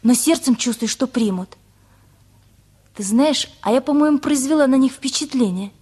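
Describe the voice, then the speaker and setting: A woman's voice: high voice, normal speed, darkish tone, breathy edge. An actress playing a dreamy, hopeful teenage girl in a radio play.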